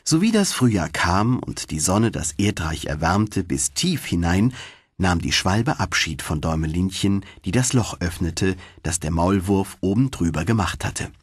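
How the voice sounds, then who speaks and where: A man narrates a fairy tale in a lowish, husky voice. His storytelling is deliberate and highly animated. The sound is dry and close, as in an audiobook studio. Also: dry recording.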